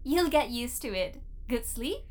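A showy female robot therapist in a sci-fi audio drama. The voice is high and very animated, playful and bossy, relishing its own cleverness. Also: a little slow. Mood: chirpy, bossy. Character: cheeky, theatrical female AI therapist in a robot body. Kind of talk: science fiction audio drama acting.

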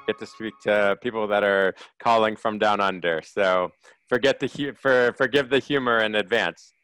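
A man's voice: lowish voice, moderate pace, fairly bright tone, soft background music, low-quality recording. A man hosting an interview, upbeat and eager, stumbling slightly over his words as he welcomes and prompts his guest. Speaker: podcast host. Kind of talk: interview host introducing guest.

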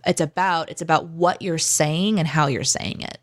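A woman co-hosts a casual podcast and stream. Her voice sits a little low for a woman. She talks in a confident, chatty way, often saying "like". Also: moderate pace. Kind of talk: casual chat.

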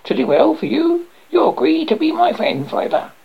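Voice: high-pitched, big pitch swings, normal speed, creaky, muffled recording, pitched above the performer's own voice, full character voice. A storyteller voicing a timid, lonely little male frog with a scratchy, pleading voice.

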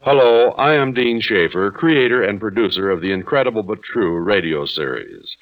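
Low-pitched male radio producer, formal scripted address to listeners. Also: lively intonation, normal speed, low-quality recording. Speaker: radio series creator and producer.

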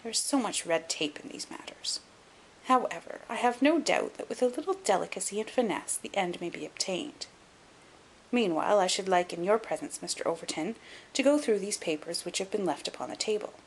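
Gentleman sleuth read by a woman, composed and dry, articulate storybook dialogue with a lively, varied melody. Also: medium pitch, fairly quick, a little hiss or hum, close to an everyday voice, poor audio. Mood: dryly amused. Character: shrewd, composed gentleman detective, dryly superior. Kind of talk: audiobook character acting.

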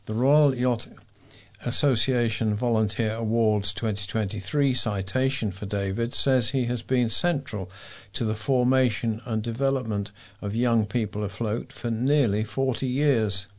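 A man reading scripted local news as a volunteer newsreader, in a measured way. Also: mid-range voice, a little mellow.

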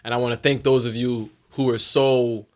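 A man spinning a funny story in casual chat, his voice leaping up whenever he acts out what someone said. He laughs often and keeps a loose, easygoing flow. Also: normal speed. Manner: animated storyteller who acts out quotes in a high voice and laughs a lot. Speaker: podcast guest.

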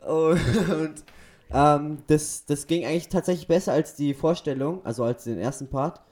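Halting young man, slightly higher voice. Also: a crowd in the background. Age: teenager or young adult. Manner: halting, self-correcting storytelling. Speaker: podcast co-host. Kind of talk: casual chat.

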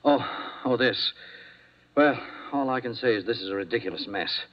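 An actor playing a nervous, formal doctor in 1950s radio style, flustered and halting, with precise diction. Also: male voice, mid-range voice, expressive, natural voice. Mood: nervous, defensive. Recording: dull 1950s radio recording.

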